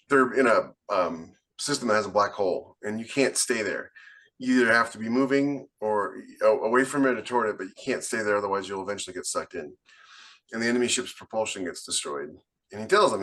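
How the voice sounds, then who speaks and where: A man with a fairly low voice speaks in a casual, conversational way. He sounds like an author sharing stories on a podcast panel. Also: a little fast, fairly bright tone. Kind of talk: casual discussion.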